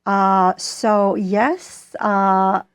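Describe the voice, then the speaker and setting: Slow-spoken woman in her forties. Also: mid-range voice, fairly even intonation, clear, resonant tone. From Bosnia. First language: Bosnian. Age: 40s. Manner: slow, thoughtful, with many pauses and fillers. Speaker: author and guest. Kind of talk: interview answers.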